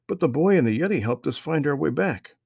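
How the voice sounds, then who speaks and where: A thoughtful, earnest young brother with a lifted, boyish voice, as a man reading a storybook puts him on. It stays husky and slightly gravelly, recorded dry and close. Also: fairly high pitch, animated, fairly quick, a little mellow, no room sound, pitched above the performer's own voice, exaggerated voice. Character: young boy Trey, thoughtful older brother.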